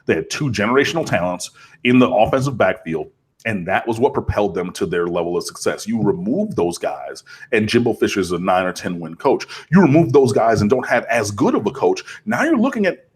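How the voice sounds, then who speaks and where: A man with a slightly husky voice talks college football on a casual podcast. He rambles and gets animated, with plenty of fillers as he builds his points. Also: lowish voice, a little fast. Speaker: co-host, Miami fan. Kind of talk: casual sports podcast chat.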